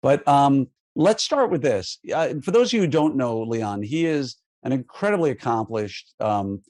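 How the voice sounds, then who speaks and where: A man in his fifties hosts an easygoing interview, with traces of a New York accent and plenty of jokes and fillers. His voice is mid-pitched and recorded dry and close. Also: animated, normal speed, a little mellow, no echo. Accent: faded New York. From Queens and Long Island, New York. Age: 50s. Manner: friendly, joking, laughs often, many fillers. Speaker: podcast host. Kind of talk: casual podcast interview.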